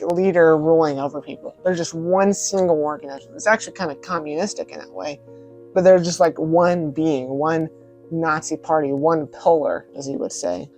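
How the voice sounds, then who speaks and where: A man's voice: fairly high pitch, darkish tone. A man giving informal, emphatic video commentary as a host, repeating words for stress in a casual, conversational way. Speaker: video host reviewing films. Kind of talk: casual spoken vlog commentary.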